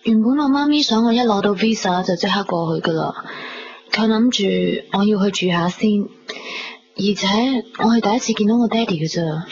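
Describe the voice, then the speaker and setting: A woman's voice: medium pitch, fairly quick, a little hiss or hum, lightly acted. A woman with a Hong Kong accent playing a sweet, playful young woman in love in a radio drama, light and conversational. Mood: tender. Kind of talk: 1990s radio drama acting. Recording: muffled radio broadcast with music underneath.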